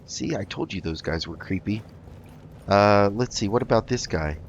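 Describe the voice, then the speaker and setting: A man hosting a roleplay gaming video, improvising in character with a polite, halting delivery. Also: lowish voice, expressive, lo-fi sound, a little hiss or hum. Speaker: gaming host.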